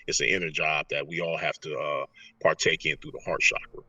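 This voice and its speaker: A man with a very deep voice that has a rough, husky edge teaches in a conversational, motivational way. He is earnest and repeats phrases for emphasis. The sound is dry and a little distant, as on a remote call. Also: level tone, a little fast, sharp tone, dry recording, dull audio. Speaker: interview guest, motivational speaker. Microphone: earpiece on a remote call.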